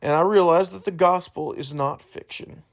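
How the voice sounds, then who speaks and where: A man hosting a solo podcast in an informal, earnest way, halting and correcting himself as he goes. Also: fairly high pitch, gravelly. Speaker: podcast host.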